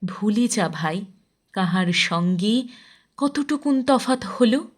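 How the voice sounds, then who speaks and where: A woman reciting a poem expressively, with measured, deliberate phrasing and drawn-out line endings. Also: medium pitch, expressive. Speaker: poetry reciter.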